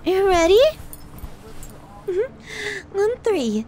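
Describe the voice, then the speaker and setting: A possessive young yandere in an intimate ASMR audio roleplay. Her pitch sits very high and she takes her time, drawing words out. She is cutesy and doting, with obsessive menace under the sweetness. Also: very animated, a little mellow, full character voice. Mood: playful, clingy. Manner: cutesy, doting, giggly, drawn-out delivery.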